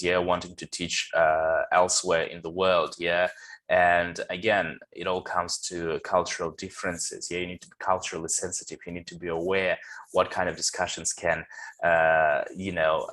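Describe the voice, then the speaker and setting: A man's voice: sits low, a bit bright. A man hosting a webinar, inviting questions and often tagging phrases with "yeah". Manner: frequent "yeah" tags and fillers. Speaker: webinar host. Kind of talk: webinar discussion.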